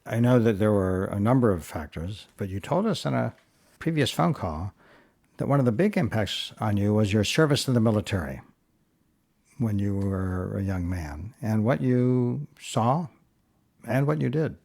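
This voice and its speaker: A man co-hosting an interview, narrating background and asking questions in a steady, explanatory way. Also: lowish voice, normal speed, creaky. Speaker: co-host reporter.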